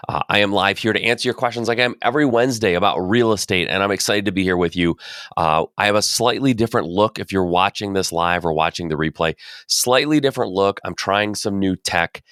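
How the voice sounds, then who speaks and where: A man hosting a podcast who is under the weather, talking in a chatty, energetic way in a live Q&A. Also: sits low, a little fast, clean tone, no room sound, clean studio sound. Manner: energetic, chatty, repeats phrases. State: under the weather, voice deeper than usual. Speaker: podcast host. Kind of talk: casual live Q&A talk.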